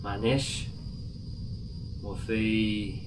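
An actor playing an excitable, hopeful male astronaut in a science fiction radio drama. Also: on the low side, drawn-out words, noisy background. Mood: wondering. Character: Amir, eager male astronaut, believer.

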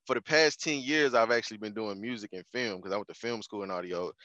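A man's voice: lowish voice, fast talker, sharp tone, dry recording, highs cut off. A man, chatting as a podcast guest in a laid-back, slangy way. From Houston, Texas. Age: 20s. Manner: laid-back, slangy, restarts and repeats phrases. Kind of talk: casual conversational interview.

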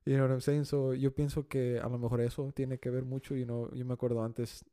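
A man's voice: mid-range voice, little pitch movement, brisk, mellow. A man from Austin whose first language is Spanish, chatting casually and switching between Spanish and English. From Austin, Texas. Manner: mixes Spanish and English constantly, says "you know what I'm saying". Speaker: podcast guest. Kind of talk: casual chat.

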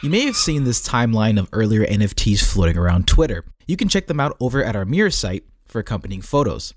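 A man's voice: lowish voice, big pitch swings, fast, no echo, studio quality. A man reading a scripted article aloud as a narrator, with a tongue-in-cheek manner.

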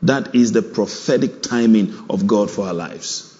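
A man's voice: lowish voice, unhurried, dark tone. A male preacher giving a sermon, punctuating it with "Amen" and "All right" to draw responses from the congregation. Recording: dull sound in a long-reverb hall.

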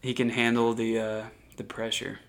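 An excitable young man co-hosting a podcast, full of slang and quick laughs. His voice sits at an ordinary male pitch and he talks in loose, casual chat. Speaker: podcast co-host.

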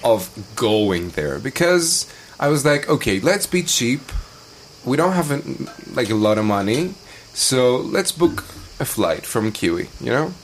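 A man's voice: medium pitch, very animated, unhurried, slight background noise. A young man chatting casually as a podcast guest, telling stories in an informal, joking way. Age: young adult.

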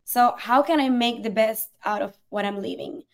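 A woman's voice: on the high side, moderate pace. A young woman from Puerto Rico, a native Spanish speaker with a Puerto Rican accent, earnestly telling her story as an interview guest. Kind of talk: conversational storytelling.

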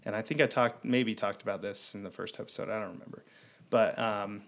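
A man's voice: fairly low pitch, fairly even intonation, fairly quick, gravelly, fairly bright tone. A man hosting a podcast, rambling with many fillers as he introduces his first guest. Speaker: podcast host. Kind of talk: casual interview conversation.

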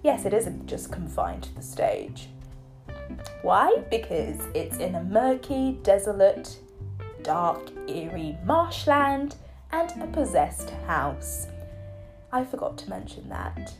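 A woman hosting her own podcast in a chatty, playful way, her voice a little croaky from a cold. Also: mid-range voice, big pitch swings, slow pace, over music. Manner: chatty and playful, teasing listeners. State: hoarse from a cold. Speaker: podcast host. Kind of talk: solo podcast talk.

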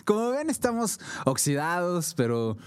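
A man's voice: high voice, very animated, normal speed, smooth, no room sound, clean studio sound. A man with a Mexican accent co-hosting a podcast in casual chat, joking and laughing often. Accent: Mexican Spanish. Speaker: podcast co-host.

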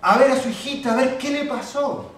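A man with a Rioplatense Spanish accent preaching a sermon, animated and repetitive, often checking in with questions to the congregation. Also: very high voice, a little fast, a little hiss or hum. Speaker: pastor. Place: church. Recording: very echoey hall, mic at a distance.

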